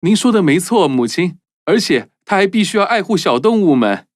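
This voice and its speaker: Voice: medium pitch, sing-song, fairly quick, natural voice. A performer voicing a kind, earnest young prince in a cartoon, speaking politely and firmly. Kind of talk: cartoon dubbing.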